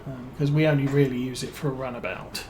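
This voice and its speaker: A man with a British accent giving relaxed let's-play commentary as a streamer, musing and thinking aloud with plenty of fillers. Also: medium pitch, quick pace, noisy background. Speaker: game streamer.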